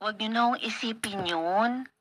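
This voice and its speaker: A woman's voice: mid-range voice, a little fast, close to an everyday voice. A woman playing an affectionate, proud young student daughter in a Philippine radio drama, earnest, with a Filipino accent. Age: young adult. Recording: noisy, with clinking tableware effects.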